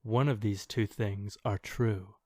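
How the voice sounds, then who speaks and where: A man's voice: lowish voice, steady tone, slow pace, a little mellow, no room sound. Casual man co-hosting, dry close sound. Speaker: podcast co-host. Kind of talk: casual chat with reading of online posts.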